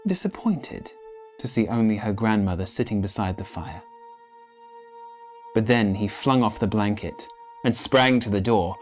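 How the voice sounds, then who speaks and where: A man reading literary fiction as a storyteller, with measured, theatrical phrasing. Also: on the low side. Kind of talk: dramatic literary narration.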